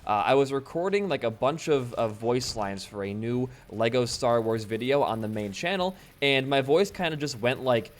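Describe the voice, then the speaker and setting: A laid-back young man from Wisconsin, a bit hoarse after losing his voice, rambling casually while commenting on his gameplay. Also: mid-range voice, lively intonation, moderate pace, hardly any pauses. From Wisconsin, USA. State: slightly hoarse from voice loss. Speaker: gaming channel host. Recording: faint game music behind.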